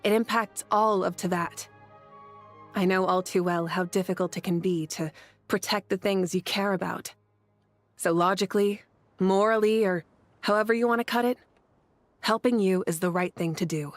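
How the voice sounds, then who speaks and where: A woman acts a self-assured goddess who leads her nation in a video game. She talks in an easygoing, teasing way at a middle pitch, with music playing behind her. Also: fairly even intonation, normal speed, no room sound, close to an everyday voice. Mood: sincere, assured. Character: confident easygoing female leader god of a fiery nation.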